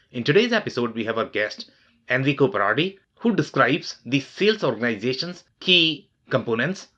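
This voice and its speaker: Lively-voiced man hosting a podcast. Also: medium pitch, highs cut off. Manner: scripted, formal reading. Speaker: podcast host. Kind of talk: podcast intro and interview.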